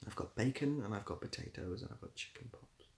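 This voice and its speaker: A man's voice: lowish voice, animated, fairly quick, gravelly, a little mellow, a little hiss or hum. A young British man chatting casually on a livestream, rambling a little and dryly playful as he responds to viewers. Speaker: live streamer. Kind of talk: casual livestream chat, answering viewer comments.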